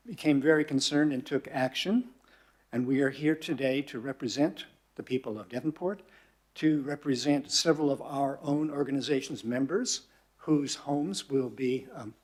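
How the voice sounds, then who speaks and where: A man's voice: medium pitch, a little slow, a little mellow, husky. A man gives a prepared statement at a council public forum, speaking in a formal, earnest way. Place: council chamber.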